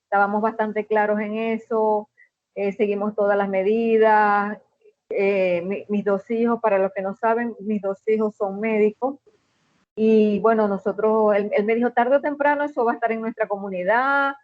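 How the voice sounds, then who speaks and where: A middle-aged woman with a Venezuelan accent, a guest in an interview, telling a personal story in an earnest, measured way with many "este" fillers. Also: medium pitch, fairly even intonation, dry recording, highs cut off. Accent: Venezuelan Spanish.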